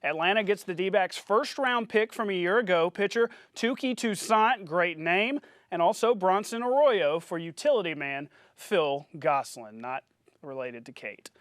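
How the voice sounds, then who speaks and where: A man reading a scripted television sportscast as anchor, with punchy, announcer-like delivery and highlight narration. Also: moderate pace, a bit bright, dry recording, studio quality.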